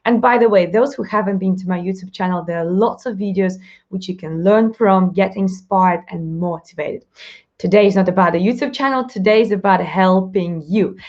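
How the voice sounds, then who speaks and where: A woman with a Russian accent hosts a live-stream Q&A in a chatty, upbeat way, talking fast and laughing often. Her voice sits in a middle register, and the stream audio is slightly dull. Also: medium pitch, a little mellow. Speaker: live show host, mindset coach.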